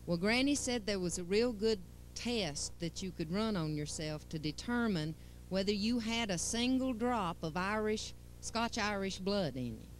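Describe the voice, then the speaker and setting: A woman's voice: mid-range voice, animated, measured pace, a little hiss or hum, low-quality recording. Playful woman storyteller. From Western North Carolina. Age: 40s. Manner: playful, addresses listeners directly. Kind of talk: folksy talk to an audience.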